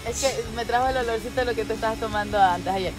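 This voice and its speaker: A woman's voice: fairly high pitch, fast talker, over music, poor audio. A woman, chatting and teasing casually outdoors. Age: 20s. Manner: teasing, laughing, slangy. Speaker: vlog companion. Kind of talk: casual chat. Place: outdoors at a riverside park.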